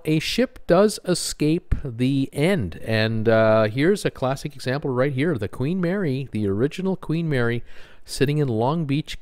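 A man's voice: mid-range voice, a little mellow, no echo. An older man narrating a casual cruise vlog over photos, rambling with many fillers and a wistful tone. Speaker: cruise vlogger.

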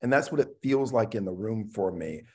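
A middle-aged man, an actor, talking casually as a panel guest on a video call in a reflective, halting way, often repeating words. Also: fairly low pitch, animated, mellow, breathy edge. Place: room at home, on a video call.

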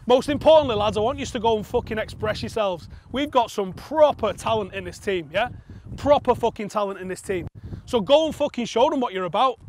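A man, a football coach giving a blunt, sweary pre-match team talk outdoors on a pitch. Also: expressive, brisk, a little mellow. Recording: outdoor pitch with faint background noise.

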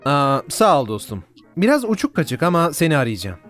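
A man's voice: on the high side, very animated, a little fast, fairly bright tone, soft background music. A man playing a harried, glib office boss who placates people, in a cartoon parody dub. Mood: dismissive.

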